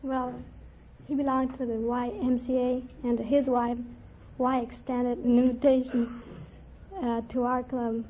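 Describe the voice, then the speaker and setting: A woman's voice: fairly high pitch, level tone, unhurried, warm tone. A young woman answering questions as a quiz show contestant on an old radio recording. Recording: dull vintage radio, faint hiss.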